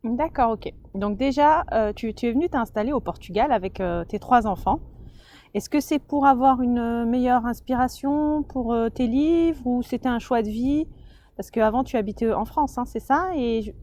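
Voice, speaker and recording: A woman interviewer asking questions in a quick, chatty way during a casual conversation. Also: fairly high pitch, dark tone.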